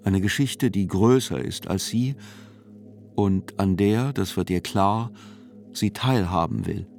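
Radio play narrator, male, sober and unhurried delivery of literary text, faint music underneath. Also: on the low side, gravelly, darkish tone. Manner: deliberate, sober.

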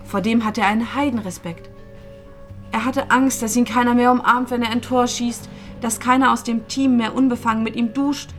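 Actress as high-voiced grieving young woman in drama. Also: steady tone, normal speed, fairly bright tone, faint music behind, close to an everyday voice. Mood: sad, earnest. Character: grieving young woman, loyal confidante of a dead friend. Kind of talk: dramatic film dialogue.

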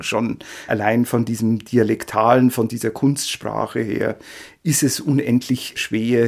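A male scholar from Switzerland explains his field in an interview. His voice sits at a medium pitch and has a strong creak, with spontaneous, unscripted phrasing. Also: a little mellow. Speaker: academic expert, classical philologist.

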